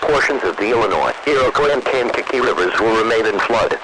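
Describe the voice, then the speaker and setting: A man's voice: on the low side, animated, fast talker. An American man reading a scripted weather bulletin over radio in a formal, impersonal, automated-sounding way. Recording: very noisy, static-filled weather radio reception.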